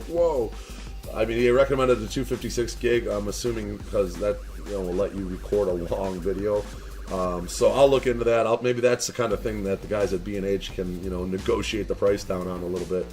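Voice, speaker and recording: A man's voice: fairly low pitch, fairly even intonation, brisk, a little mellow, soft background music. A young man from the Chicago area, vlogging casually and rambling with many fillers and restarts as he asks viewers for advice. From Chicago area, USA. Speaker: vlogger and online business owner. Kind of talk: casual talk to camera.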